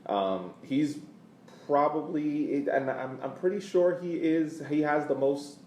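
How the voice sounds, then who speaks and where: A man co-hosting a sports podcast with casual commentary, deliberately repeating words for emphasis. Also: fairly high pitch, darkish tone. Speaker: podcast co-host. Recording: echoey room, distant mic, faint noise.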